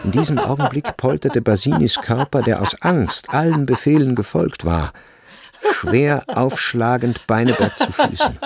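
A man's voice: mid-range voice, very animated, normal speed, creaky, husky, soft background music. A man narrating a literary story as part of a radio play.

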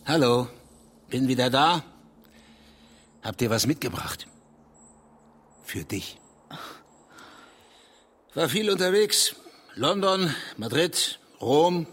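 An actor in a literary radio drama playing a restless, gruff, evasive East German poet with terse phrasing. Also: male voice, fairly high pitch, expressive, takes it slowly, long pauses, fairly bright tone, slight background noise. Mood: restless, eager.

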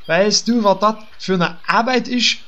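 A man's voice: sits high, measured pace, bright, forceful tone. A man playing a dim, touchy, self-important paladin with an East German accent in a comedic fantasy audio drama. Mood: indignant. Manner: plodding, naive, self-important.

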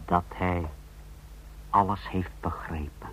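An actor playing a loyal, ruthless secret-service subordinate, formal and deferential in radio drama style. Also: male voice, sits low, steady tone, takes it slowly. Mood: grave. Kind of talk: mid-century European radio drama acting. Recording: dull, lo-fi old radio recording.

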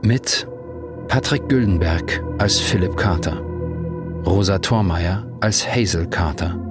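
A man's voice: deep voice, takes it slowly. A man announcing the title and cast of a radio drama in a scripted read. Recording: loud music under the announcement.

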